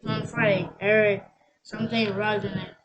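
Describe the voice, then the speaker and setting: A young reader reading a children's picture book aloud, haltingly, stumbling over and repeating words. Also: mid-range voice, flat delivery, crowd noise, low-quality recording. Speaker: story reader.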